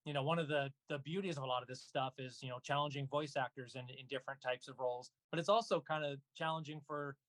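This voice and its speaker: A man speaking casually as an interview guest about his work, halting with frequent pauses and asides. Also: medium pitch, fairly even intonation, fairly bright tone, dry recording. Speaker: guest, voice actor and audio drama producer. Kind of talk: casual interview talk about own projects.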